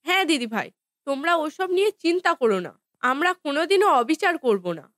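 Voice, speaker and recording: A woman's voice: sits high, very animated, quick pace, fairly bright tone, no echo, clean studio sound. A woman playing a sweet, fair-minded young wife in a cartoon family drama, soothing and polite. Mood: reassuring, sweet.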